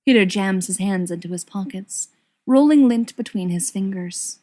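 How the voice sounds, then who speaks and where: A woman reading a story aloud as an expressive scripted narration. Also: medium pitch, normal speed. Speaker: audiobook reader of fan fiction.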